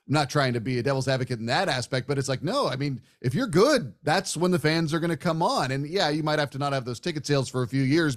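A man hosting a sports podcast, talking in a chatty, discursive way like a casual broadcaster in conversation. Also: mid-range voice. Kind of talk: casual sports interview talk.

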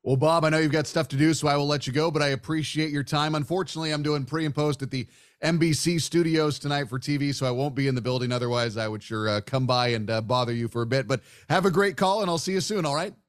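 A man's voice: a little high, quick pace. A man hosting a sports radio show, asking questions and presenting in a conversational way. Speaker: sports podcast host, interviewer.

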